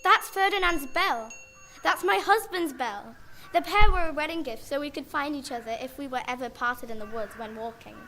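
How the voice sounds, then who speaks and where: A woman's voice: high-pitched, moderate pace, sharp tone, slight background noise. A young girl playing a lonely, grieving widowed schoolmistress in a radio play. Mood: moved, hopeful. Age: child or young performer.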